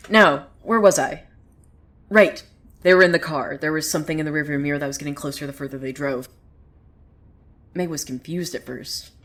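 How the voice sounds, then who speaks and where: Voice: medium pitch, normal speed, long pauses, natural voice. A woman playing a wry, theatrical narrator of a surreal small town in a scripted audio drama, telling the tale dramatically. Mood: agitated, excited. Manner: theatrical, swinging from hushed to excited. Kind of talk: dramatic scripted storytelling monologue.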